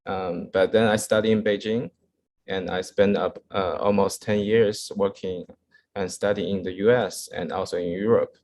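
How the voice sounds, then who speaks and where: A man's voice: low-pitched, steady tone, moderate pace, darkish tone, slight background noise. A man from China with a Chinese accent gives an informal slide lecture in English as a second language, hesitating often as he searches for words. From Wuhan, China. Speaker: architect giving a guest lecture.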